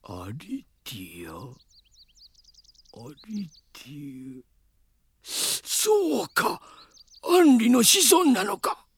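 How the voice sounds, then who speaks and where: An ancient dragon-kin in an old man's body for a radio drama. The voice is high and creaky. He speaks slowly, in an archaic, theatrical manner. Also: big pitch swings, fairly bright tone, exaggerated voice. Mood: astonished. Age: older. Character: quirky old dragon-kin man in human form. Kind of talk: Japanese radio drama acting.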